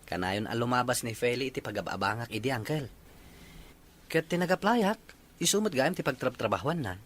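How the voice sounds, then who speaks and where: A man's voice: medium pitch, expressive, fairly quick, fairly bright tone, slight background noise. A man playing an earnest, courteous young suitor in an Ilocano romance drama. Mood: respectful.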